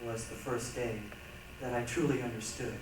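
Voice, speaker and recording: A man's voice: mid-range voice, fairly even intonation, normal speed, close to an everyday voice. A man performing a reader's theatre monologue as a reflective, earnest man recounting his memories. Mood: solemn. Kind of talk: staged dramatic monologue reading. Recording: very echoey, noisy hall, distant mic.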